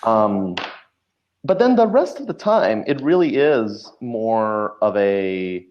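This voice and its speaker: Lower-pitched man, slightly dull lo-fi sound. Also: very animated, slow. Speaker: podcast guest, show writer. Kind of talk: casual interview chat.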